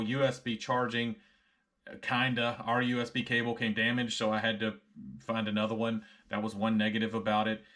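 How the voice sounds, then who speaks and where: A man's voice: medium pitch, fairly bright tone. A middle-aged American man reviewing a product casually to camera, frank and conversational, often repeating himself.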